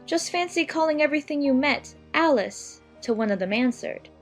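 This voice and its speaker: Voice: sits high, lively intonation, moderate pace, soft background music, pitched above the performer's own voice. A narrator voicing a curious, chatty little girl who muses to herself in a children's audiobook. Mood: musing, chatty. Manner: musing aloud to herself.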